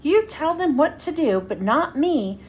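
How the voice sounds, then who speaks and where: A woman's voice: high-pitched, big pitch swings, measured pace, a little mellow, a little hiss or hum. A woman voicing a sullen, defiant young male monster student from a storybook, blunt and grudging. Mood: sarcastic, sullen.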